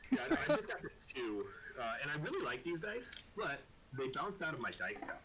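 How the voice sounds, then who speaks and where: A man chatting casually as game master of an online tabletop game, often halting and repeating words. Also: on the high side, wildly swooping pitch, a loud crowd.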